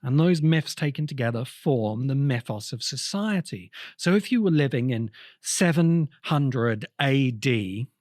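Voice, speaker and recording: A man giving an explanatory lecture in emphatic short phrases with pauses between them. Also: mid-range voice, expressive, darkish tone, dry recording, studio quality.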